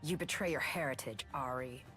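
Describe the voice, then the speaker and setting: A woman voicing a dark-humored, cocky female rebel warrior for a video game, with a deadpan, sarcastic manner. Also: rumbling low, normal speed, faint music behind. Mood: scornful. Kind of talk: game voice lines.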